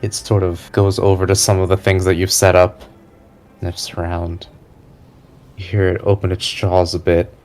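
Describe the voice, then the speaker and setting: A man narrating a tabletop game as game master, improvising suspensefully with pauses in mid-sentence. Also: low-pitched, level tone, a little mellow, soft background music.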